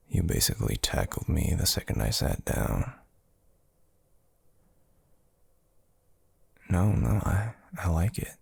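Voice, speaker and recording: A man playing an affectionate, teasing young boyfriend in an intimate ASMR roleplay, murmuring softly and hesitantly with a breathy, gravelly voice. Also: low voice, very animated, measured pace, no room sound, exaggerated voice. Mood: teasing, tender. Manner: soft, hesitant, drawling, teasing.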